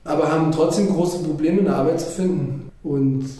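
A man who runs a workshop speaks in a thoughtful, slightly halting way. His voice is very soft and mellow and a bit high for a man. The room around him is very echoey. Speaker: workshop manager. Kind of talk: interview statement.

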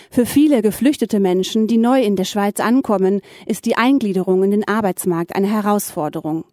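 A woman reporter reads a radio news feature at a medium pitch. Her delivery is clear and even. The recording is dry and close. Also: no room sound. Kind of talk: scripted news narration.

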